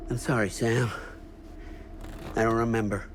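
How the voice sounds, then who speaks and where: A man's voice: medium pitch, fairly even intonation, slight background noise, lightly acted. An actor playing a folksy, defensive veteran night watchman in a video game, with an American accent and a rambling manner. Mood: flustered, evasive. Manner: folksy, rambling, evasive drawn-out speech. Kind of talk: cinematic game dialogue.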